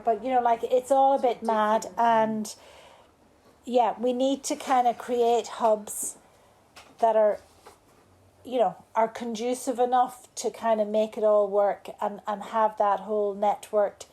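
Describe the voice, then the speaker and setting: A woman's voice: on the high side, steady tone, a little slow, mellow, poor audio. A woman with an Irish accent, not from the local area, talking casually to a live group at a bar event, rambling with many restarts and fillers. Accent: Irish, not from Cork. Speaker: salon speaker.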